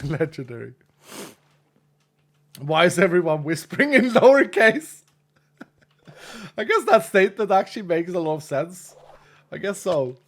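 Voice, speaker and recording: A man's voice: high-pitched, big pitch swings, unhurried, long pauses. A man from Sweden with a Swedish accent, Swedish being his first language, chatting casually with viewers while gaming on a stream, joking about himself and trailing off mid-thought. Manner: chatty, self-deprecating, laughs often, trails off mid-sentence.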